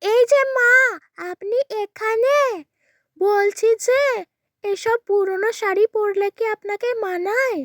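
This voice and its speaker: A woman's voice: very high-pitched, steady tone, slow pace, no echo, clean studio sound. A woman voicing a sugary, wheedling daughter-in-law in a cartoon story.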